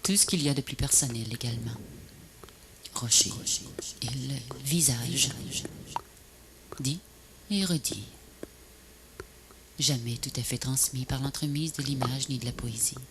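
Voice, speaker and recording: A woman recites poetry as a voice-over in a solemn, measured, breathy and often half-whispered delivery. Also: extremely low pitch. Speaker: poet narrator of a video poem. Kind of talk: poetic recitation, scripted voice-over. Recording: noisy VHS transfer, ambient noise.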